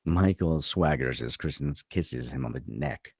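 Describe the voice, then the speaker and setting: A very low male voice reads screenplay directions with a husky, crackling edge and animated swings in pitch. The sound is dry and close, as a narrator would give it at a table read. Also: a little slow, no echo. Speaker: narrator reading stage directions.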